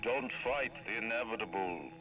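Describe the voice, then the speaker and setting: Actor as imperious villain, loud music behind. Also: male voice, on the low side, lightly acted, low-quality recording. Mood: menacing calm. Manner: deliberate, condescending. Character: cold, menacing, imperious middle-aged tycoon villain. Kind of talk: video game villain announcement.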